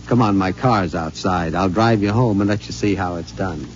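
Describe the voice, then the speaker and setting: A man's voice: low voice, moderate pace, soft background music, close to an everyday voice. An actor playing a henpecked middle-aged husband who is giddy and secretive, stammering as he confides, in 1950s radio acting style. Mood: confiding. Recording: dull 1950s radio recording, faint hiss.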